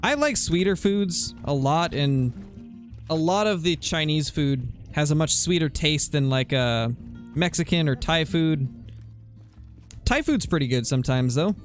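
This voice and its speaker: A man's voice: fairly high pitch, lively intonation, measured pace, soft background music. Laid-back rambling young streamer guy. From US West Coast. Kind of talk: casual gameplay commentary, chatting with viewers.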